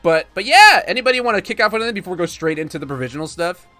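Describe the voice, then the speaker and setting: A man's voice: high voice, expressive, racing, pushed and bright, no echo, faint music behind. A man in his thirties from Florida hosting a podcast, talking casually and rambling with lots of fillers and laughs. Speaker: podcast host. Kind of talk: casual chat.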